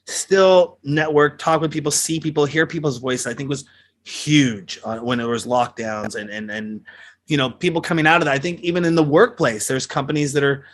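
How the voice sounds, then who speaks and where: A man's voice: on the high side, moderate pace. A man hosting an interview, talking casually with lots of fillers. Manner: rambling, many fillers. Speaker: interview host.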